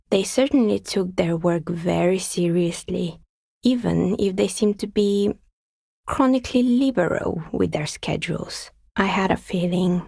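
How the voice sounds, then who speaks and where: Wry calm dicemaker lady from a video game, husky with lots of vocal fry, kinda dry understated delivery. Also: fairly low pitch, no room sound, exaggerated voice. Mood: dry, unimpressed. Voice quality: husky, breathy, slightly creaky voice. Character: calm, wry woman artisan who makes and sells novelty dice. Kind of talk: video game character dialogue.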